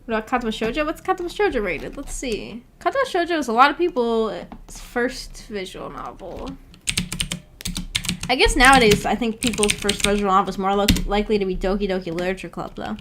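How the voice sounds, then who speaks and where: A woman's voice: on the high side, lively intonation, brisk, background noise. A woman hosting a casual livestream, reading out and answering viewer comments in a giggly, hesitant, self-effacing way. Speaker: livestream host.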